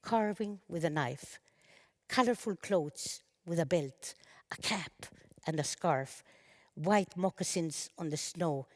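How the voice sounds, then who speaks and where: Brief: woman in her fifties, lowish voice, halting earnest panel talk. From Sápmi, northern Sweden. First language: Sami. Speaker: panel speaker. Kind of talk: conference speech.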